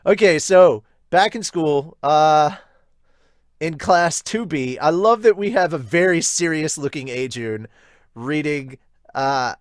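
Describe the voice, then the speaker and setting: A man hosting a manga review, giving casual read-along commentary with amused, excitable asides. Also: a little high, slow pace, dry recording, clean studio sound. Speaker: host of a manga review podcast.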